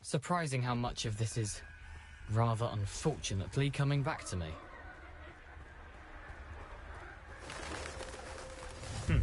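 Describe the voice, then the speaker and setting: A man's voice: mid-range voice, noisy background, natural voice. A man voicing a genteel, chatty, rueful young ghost of a thief in a video game, with a wry, wordy, theatrical manner. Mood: wistful. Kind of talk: video game character acting.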